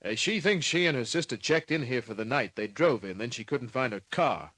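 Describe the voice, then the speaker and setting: An actor playing a wry, hard-boiled private detective in an old-time radio drama, laconic, slangy and cynical. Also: male voice, mid-range voice, lively intonation, brisk, a bit bright, dry recording. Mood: cool, probing.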